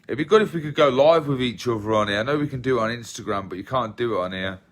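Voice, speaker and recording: Man, 30s, from England, soft relaxed voice, rambling livestream chat, repeats himself, phone mic at home. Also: medium pitch, fast talker. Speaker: livestream host. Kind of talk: casual livestream chat reading comments. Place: small living room at home, indoors. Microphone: phone held at arm's length.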